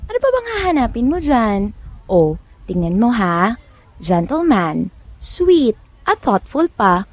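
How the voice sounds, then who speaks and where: A woman's voice: on the high side, big pitch swings, slow pace, no room sound, exaggerated voice. An actress playing a young woman, a pushy matchmaking friend with a sassy, teasing manner, in a radio drama. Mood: persuasive.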